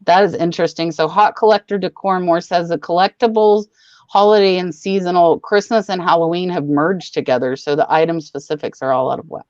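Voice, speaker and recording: A chatty woman hosts a casual livestream and rambles along in a brisk, matter-of-fact way. Her voice comes through dull and lo-fi. The sound is dry, with no room echo. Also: on the low side, fairly even intonation, a little mellow. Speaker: host.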